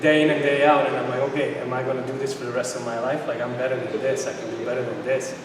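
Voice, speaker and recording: A man's voice: medium pitch, level tone, quick pace. A middle-aged man with a Bosnian accent, a native Bosnian speaker, telling his story conversationally as an interview guest. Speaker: interview guest, supplement company founder. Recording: echoey hall, distant mic, noisy ambience.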